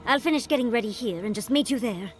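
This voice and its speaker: An actress playing a tiny, eager, self-assured scholar in a video game, chatty and theatrical. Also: female voice, fairly high pitch, animated, quick pace, faint music behind, close to an everyday voice. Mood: urgent. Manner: theatrical, breezy. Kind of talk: scripted video game dialogue.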